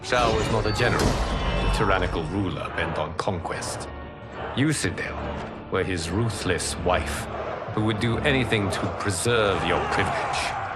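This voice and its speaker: A wise former god speaks with solemn, measured respect, as a man voices him. His voice sits a little low and steady. A loud orchestral game score plays beneath him. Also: lightly acted. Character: calm former god, wise male monk-champion. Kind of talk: video game cutscene acting.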